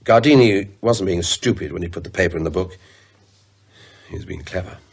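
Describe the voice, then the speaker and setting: Cursed brooding man, low pitch, heavily husky, grave, deliberate, fatalistic, horror radio play. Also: exaggerated voice. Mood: grave, resigned.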